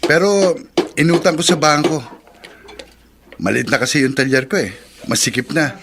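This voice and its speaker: A man's voice: fairly high pitch, expressive, normal speed, background noise. An actor playing an agreeable, hardworking Filipino family man in a radio drama, plain-spoken and earnest.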